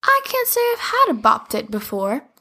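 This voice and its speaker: A woman's voice: a little high, huge pitch swings, moderate pace, sharp tone, husky, full character voice. A woman voicing a kind, helpful young girl in a storybook, speaking cheerfully and eagerly.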